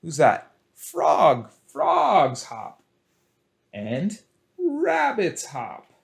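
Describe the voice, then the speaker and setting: A man's voice: a little high, gravelly. A man hosting a children's storytime, speaking in an exaggerated, sing-song way and encouraging young listeners along. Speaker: children's storytime librarian and host. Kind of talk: children's storytime with action songs and picture-book reading.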